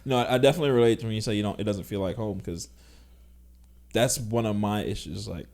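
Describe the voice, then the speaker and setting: A young man from Jamaica hosting a casual podcast, relaxed and supportive with frequent laughs. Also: lowish voice, fast, creaky. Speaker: podcast host.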